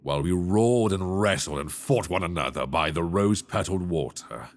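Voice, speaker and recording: A man voicing a possessive, teasing dragon king in human form in an ASMR audio roleplay, speaking slowly and intimately into a binaural mic. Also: expressive. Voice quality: deep, gravelly. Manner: slow, intimate, teasing, soft-spoken.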